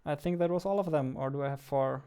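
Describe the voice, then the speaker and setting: A man in his twenties talks casually, thinking aloud like a developer at his desk. His voice is fairly high for a man, clean and smooth. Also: quick pace, dark tone. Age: 20s. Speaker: game developer, video host. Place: small indoor room at a computer.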